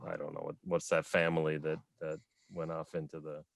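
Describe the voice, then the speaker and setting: A man's voice: a bass voice, completely flat delivery, fairly quick, creaky, no echo. A man on a casual online fan panel, making dry, joking remarks.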